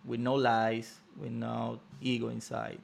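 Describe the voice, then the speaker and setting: A man's voice: medium pitch, completely flat delivery, unhurried, vocal fry. A man in his thirties whose first language is Spanish, answering as a podcast guest in short, simple phrases. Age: 30s. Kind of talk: casual interview chat.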